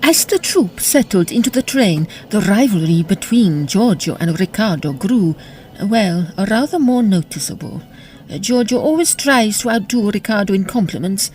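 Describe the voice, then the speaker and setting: A woman's voice: medium pitch, lively intonation, normal speed, darkish tone, a little hiss or hum, lightly acted, poor audio. An actress playing an admired, coquettish opera leading lady, arch and genteel, in a period audio drama with narration.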